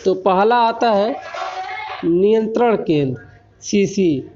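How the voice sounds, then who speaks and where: High-pitched Indian man lecturing, muffled recording. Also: animated, slow pace, dark tone, a little hiss or hum. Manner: frequent check-in tags while explaining. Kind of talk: explanatory technical lecture. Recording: dull lo-fi recording.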